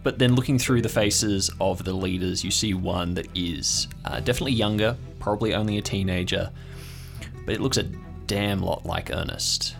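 A man narrating an improvised tabletop story as game master, in a measured, descriptive way with halting phrasing. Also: sits low, creaky, soft background music.

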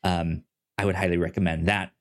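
A man co-hosting a casual review podcast, talking informally and haltingly, often stuttering and repeating syllables. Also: low voice, little pitch movement. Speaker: podcast co-host. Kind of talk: casual review show chat.

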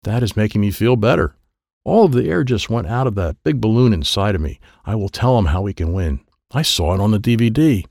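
An anxious young boy acted by a man tells his adventure in an audiobook. He speaks in simple, breathless phrases that leap up and down in pitch. The sound is close and dry. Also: lively intonation, a little fast, a little mellow, dry recording. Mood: relieved. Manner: simple, breathless present-tense childlike phrasing. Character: excitable, anxious little boy telling his own adventure. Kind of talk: dramatic audiobook narration.

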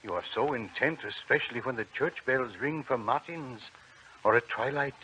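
An actor playing a tender, worried uncle in an old radio drama, speaking with gentle concern. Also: male voice, mid-range voice, moderate pace, slight background noise, natural voice. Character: Uncle Durand, a gentle, worried older peasant man. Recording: dull old radio recording with music.

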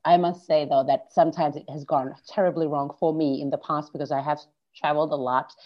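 A woman's voice: low voice, fairly even intonation, brisk. Sex: woman. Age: 30s. Native: Finnish. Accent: Finnish. Style: casual chat guest. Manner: laughing, restarting. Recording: slightly muffled. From Finland. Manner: laughs often, talks in restarts. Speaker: guest, school founder. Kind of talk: casual podcast conversation.

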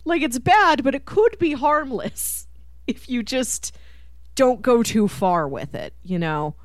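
A woman's voice: fairly high pitch, animated, takes it slowly, no room sound, studio quality. A woman co-hosting a podcast, talking casually about a film and animatedly voicing what people might be thinking. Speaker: podcast co-host. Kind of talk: casual film discussion chat.

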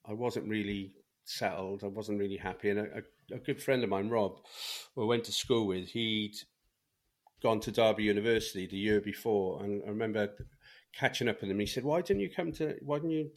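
A man's voice: fairly low pitch, a little fast. A middle-aged man with a Welsh accent, speaking candidly as a guest about his life, rambling with many restarts. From Wales.

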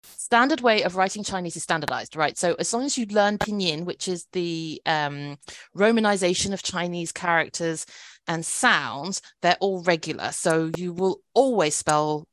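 A woman's voice: on the low side, normal speed, fairly bright tone, no echo. A woman from Britain, a native Cantonese speaker with a British accent, co-hosting a podcast and patiently explaining in casual chat. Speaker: podcast co-host.

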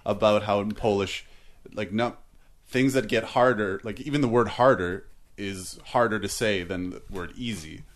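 Low-voiced easygoing comedian. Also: male voice, moderate pace. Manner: easygoing, many yeahs and likes, laughs along. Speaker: stand-up comedian. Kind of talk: casual chat.

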